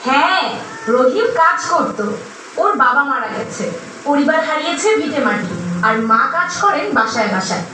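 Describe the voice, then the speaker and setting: Firm, caring female social worker played by a woman for a puppet show: high, lively, emphatic, echoing. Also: normal speed, a little hiss or hum. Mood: earnest, persuasive. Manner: emphatic, preachy. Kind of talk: scripted puppet show dialogue, awareness speech. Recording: very echoey, distant, music behind.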